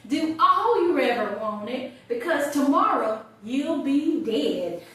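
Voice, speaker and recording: A chatty, wry older woman, acted by a woman in a stage monologue, talks in a high, slow voice with conversational pauses. The room is very echoey around her. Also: lively intonation, fairly bright tone, recorded from a distance, full character voice. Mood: wry, mock-gloomy. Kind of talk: theatrical audition monologue.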